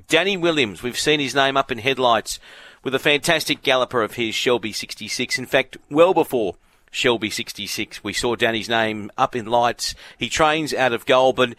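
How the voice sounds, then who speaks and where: Bright-voiced Australian radio host. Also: male voice, medium pitch, no echo. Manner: friendly, chatty, some fillers. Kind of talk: radio interview hosting. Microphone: studio microphone.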